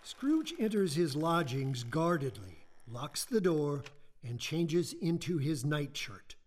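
An actor narrating a Victorian ghost tale for a radio play, in a measured, theatrical storytelling manner. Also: male voice, on the high side, sing-song, exaggerated voice. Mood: atmospheric.

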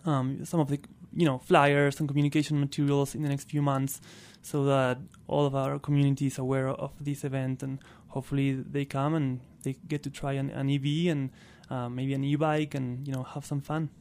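A man's voice: medium pitch, level tone, moderate pace, few pauses, a little mellow. A man from Argentina, a native Spanish speaker with an Argentine accent, talking as a guest in a casual radio interview. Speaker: interview guest, clean transportation programme worker.

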